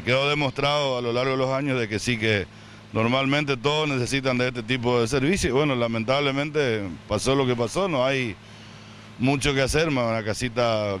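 A man's voice: medium pitch, fairly bright tone. Older man, fire chief, rambling, tag questions, handheld mic, faint outdoor noise. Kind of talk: on-the-spot news interview. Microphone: handheld reporter mic.

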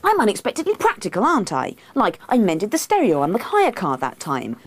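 A woman's voice: fairly high pitch, huge pitch swings, moderate pace, a little mellow, voice pushed up, exaggerated voice. A woman voicing a needy, insistent wife, pleading and indignant, in a comic book reading.

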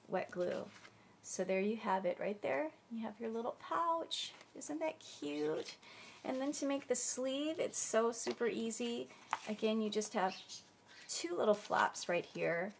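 A woman's voice: medium pitch, vocal fry, slight background noise. Stuffy-voiced woman demonstrating a craft. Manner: chatty, friendly, searches for words. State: stuffy, under the weather. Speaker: crafting channel host. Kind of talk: casual tutorial demonstration. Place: indoors at a craft table.

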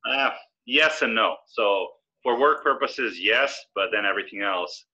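Forties man, Serbian-born guest, lowish bright voice, slightly dull webcam sound. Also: lively intonation. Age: 40s. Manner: easygoing, measured and explanatory. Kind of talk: casual interview. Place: indoor room with brick wall. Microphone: video call webcam. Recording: slightly lo-fi video call audio.

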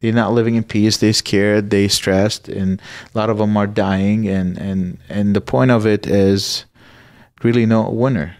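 A man from Iraq, likely in his thirties, speaks earnestly and haltingly, often pausing and restarting. His voice sits on the low side. The recording is dry and close, from a boom-arm mic in a small studio room. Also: fairly even intonation, darkish tone, clear, resonant tone, no room sound. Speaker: guest. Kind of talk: personal story in a podcast interview.

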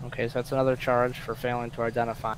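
A man's voice: mid-range voice, little pitch movement, very fast, a little hiss or hum, low-quality recording. A blunt, sweary man playing a police officer in a casual online game role-play.

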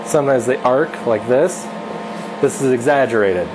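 A man's voice: mid-range voice, normal speed, noisy background. A man in his thirties casually teaching his wife to weld in a home garage, offhand and self-deprecating. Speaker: channel host teaching welding. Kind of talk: casual instructional chat. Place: home garage workshop, indoors.

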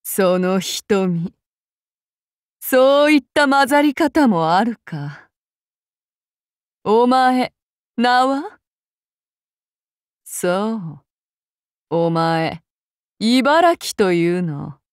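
An actress voicing a calm, menacing samurai woman of demon blood, speaking in formal archaic phrasing to her master. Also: medium pitch, very slow, long pauses, no echo, studio quality. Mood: cold, brooding. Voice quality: formal, archaic courtly speech. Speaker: game character voice actress. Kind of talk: game character voice lines.

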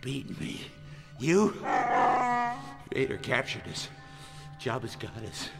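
An actor playing a cocky, wisecracking smuggler who is temporarily blind, sarcastic and blustering, in a radio drama. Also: male voice, medium pitch, animated, takes it slowly, gravelly, loud music behind the voice. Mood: taunting. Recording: loud film score behind dialogue.

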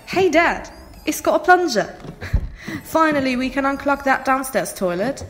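A woman's voice: sits high, big pitch swings, unhurried, in a large hall, slight background noise. A woman playing a bored, sarcastic twenty-one-year-old daughter in an audio drama, with a dry, argumentative manner. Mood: curious, amused.

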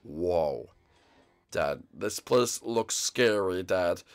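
A man's voice: low voice, extremely slow, soft background music, heavily acted. A man voicing a bratty young dragon-turtle prince who whines and teases his father. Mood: whiny. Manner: whiny, teasing, easily excited.

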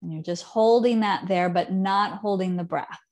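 A woman in her thirties teaching a seated yoga class from her living room, giving calm, unhurried cues with soft trailing endings, picked up by a camera set some distance away. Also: mid-range voice. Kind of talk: guided yoga instruction.

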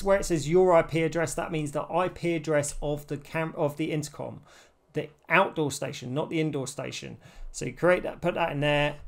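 A man's voice: on the high side, smooth. A man in his forties presenting a casual, step-by-step tech tutorial.

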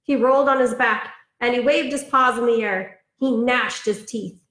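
A woman's voice: on the high side, normal speed, a bit bright. A woman reading aloud for an elementary storytime, telling it expressively with chatty asides. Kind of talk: read-aloud storytime for children. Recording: roomy, echoing sound.

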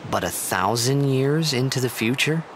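A man playing a brash, bewildered teenage athlete in a video game, youthful and expressive, sometimes hesitant. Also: mid-range voice, moderate pace, background noise, lightly acted. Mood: reflective. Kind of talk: video game dialogue and narration.

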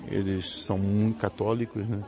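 Low-voiced Brazilian man in a busy market. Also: flat delivery, moderate pace. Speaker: vlogger. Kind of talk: casual walking tour narration. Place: large indoor swap meet. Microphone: handheld phone camera. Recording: busy indoor market crowd behind.

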